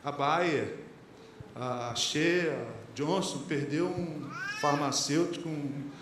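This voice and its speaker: A man's voice: a little high, slow, background noise. A man with a Brazilian Portuguese accent, a pastor preaching a sermon in a conversational way and putting questions to the congregation. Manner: conversational preaching, quotes lyrics and sayings, asks the congregation questions. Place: church hall. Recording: echoey church hall, faint ambience.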